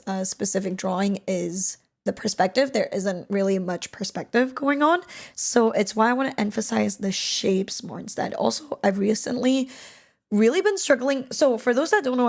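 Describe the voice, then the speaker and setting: A young woman talks through her drawing process in a relaxed, rambling way, often stopping to correct herself. Her voice is raspy and worn from a long stream the day before, with a gravelly edge. It sits a touch higher than most women's and sometimes sinks to a breathy near-whisper. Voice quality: raspy, hoarse-sounding today. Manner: rambling, self-correcting, soft and half-whispered at times. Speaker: digital artist. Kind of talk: casual talk-along while drawing. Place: at home, drawing on a tablet. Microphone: voice-over mic, speaker unseen, only hands.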